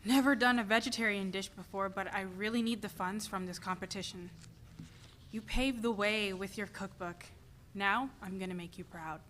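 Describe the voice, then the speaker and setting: A woman's voice: medium pitch, steady tone, moderate pace, fairly bright tone, a little hiss or hum, lightly acted. A woman playing a stressed, driven mother and restaurant owner in a dramatic play reading. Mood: determined, preoccupied.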